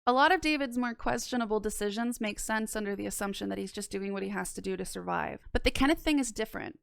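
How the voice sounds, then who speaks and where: Young female video essayist. Wry and blunt, fry in a smooth voice, dry close mic. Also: on the high side, fairly bright tone, dry recording. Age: young adult. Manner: wry, blunt, casually sweary. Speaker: video essayist reviewing a horror podcast. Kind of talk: scripted commentary with casual asides. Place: small indoor home studio. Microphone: close microphone on boom arm.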